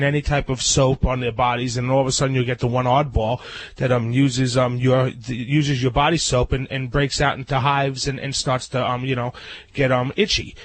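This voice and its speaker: A man's voice: medium pitch, rough recording. A man with a nasal, congested voice who is a guest dog groomer, giving casual advice in a chatty, rambling way with many fillers. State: congested from a cold.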